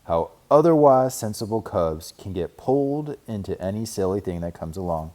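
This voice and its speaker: Guy doing papa bear from a kids book, blustery and baffled, loud emphatic delivery. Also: on the low side, expressive, lightly acted. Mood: exasperated. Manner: emphatic, slowing on key words. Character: bemused, blustery father bear, easily carried away. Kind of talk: children's storybook read aloud.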